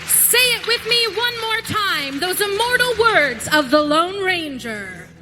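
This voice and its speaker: A woman's voice: very high-pitched, sing-song, takes it slowly, noisy background. A woman announcer reading a scripted sign-off for a live radio theater show. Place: theater stage. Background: music.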